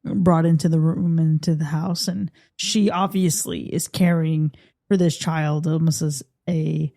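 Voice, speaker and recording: A woman with a very mellow, dark tone and a slightly low pitch, recorded dry. She comes across as a podcast co-host in casual conversation, making wry, pointed observations. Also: fairly even intonation, measured pace, no room sound. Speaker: podcast co-host reviewing a horror film. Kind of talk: casual film discussion.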